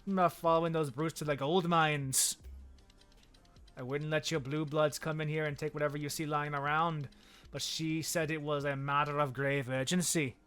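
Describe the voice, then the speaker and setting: Picture a tough, loyal woman who guards her village, speaking in a blunt Irish lilt, as a male streamer reads her lines aloud. The voice has a bright, forceful edge and sits a touch high. Soft game music plays underneath. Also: flat delivery, normal speed, smooth, lightly acted. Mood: gruff. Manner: blunt, defiant, plain-spoken. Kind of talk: reading game dialogue aloud.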